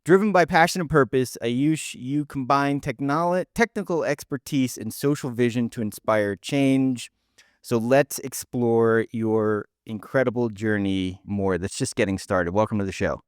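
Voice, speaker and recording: A man's voice: mid-range voice, clean tone, dry recording, studio quality. A man co-hosting a podcast, earnestly reading out a guest introduction and then asking questions, with small stumbles. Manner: earnest, measured, slight stumbles. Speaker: podcast co-host.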